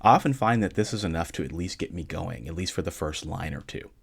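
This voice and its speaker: A man with a notably low voice hosts his own show and talks listeners through their questions. He keeps a calm, measured pace and delivers dry jokes completely deadpan. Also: gravelly, husky. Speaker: podcast host answering listener questions. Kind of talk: casual solo podcast talk.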